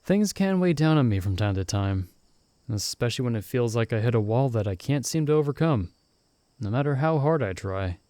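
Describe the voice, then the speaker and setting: Casting: a man as upbeat young fantasy mage, loyal best-friend type, soft mellow tone, close dry sound, casual ASMR roleplay. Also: mid-range voice, expressive, normal speed, no room sound, natural voice. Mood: candid, wistful. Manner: casual, chuckling, reassuring. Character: cheerful, caring young male friend, novice mage in fantasy world. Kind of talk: ASMR roleplay, intimate one-sided conversation.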